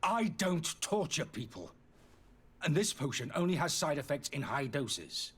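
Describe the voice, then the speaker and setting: An actor playing a disgraced, indignant alchemist in a fantasy video game, pleading and justifying himself theatrically in a slightly husky voice. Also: male voice, fairly high pitch, big pitch swings, a little slow, a bit bright, full character voice. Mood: defensive, agitated. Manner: agitated, defensive, theatrical delivery.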